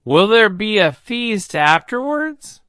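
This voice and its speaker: A woman's voice: mid-range voice, big pitch swings, takes it slowly, fairly bright tone, clean tone, no room sound, clean studio sound, voice pushed up. A woman voicing a friendly, easygoing storybook dragon who is mild and reluctant. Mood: hopeful.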